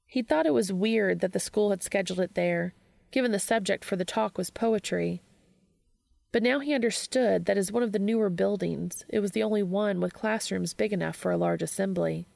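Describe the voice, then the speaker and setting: A woman's voice: mid-range voice, normal speed, gravelly, darkish tone, no room sound. A woman narrating a fiction audiobook, reading scripted prose.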